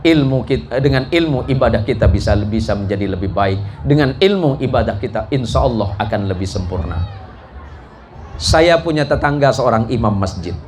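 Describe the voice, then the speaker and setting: A man's voice: mid-range voice, animated, normal speed, darkish tone. A man preaching a sermon to a congregation in a jocular, folksy way, often putting questions to his listeners. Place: mosque gathering before a live congregation. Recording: live talk with clear background noise.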